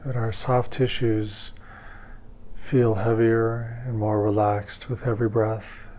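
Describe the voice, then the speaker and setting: A man leading a guided meditation as a teacher, giving calm, gentle instructions slowly with long pauses. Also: fairly low pitch, dull audio.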